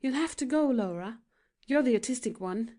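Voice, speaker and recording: Woman doing a bright young elder sister. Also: fairly high pitch, lively intonation, moderate pace, voice pushed up, lightly acted. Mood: cheerful.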